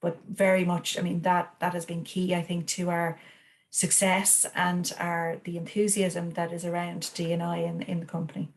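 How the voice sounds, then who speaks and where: A woman talks about her company as an award finalist in an online interview. Her voice is fairly low and flat, and she speaks hesitantly, with frequent restarts and fillers. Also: normal speed.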